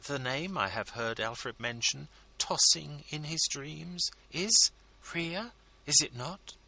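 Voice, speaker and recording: A man voicing a gushing, flattering scheming wife who pleads sweetly in a storybook reading. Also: a little high, slow pace, gravelly, voice pushed up, full character voice, low-quality recording. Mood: gushing pleading.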